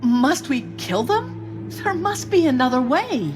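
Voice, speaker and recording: High-voiced, distressed female accomplice. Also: very animated, slow, music in the background, exaggerated voice. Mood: anguished, pleading. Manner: emotional, protesting delivery. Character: distressed female accomplice villain, reluctant to kill. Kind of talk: video game cutscene acting.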